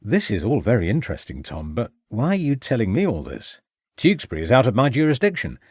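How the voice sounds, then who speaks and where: A man voicing a composed Victorian gentleman detective who questions in a measured, inquisitive way. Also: medium pitch, huge pitch swings, breathy edge, no echo.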